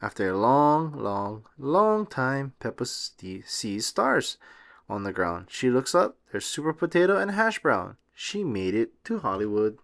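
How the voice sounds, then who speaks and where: Animated man reading a children's storybook. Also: mid-range voice, a little slow, gravelly. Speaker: read-aloud host. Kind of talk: children's picture book read-aloud, scripted narration.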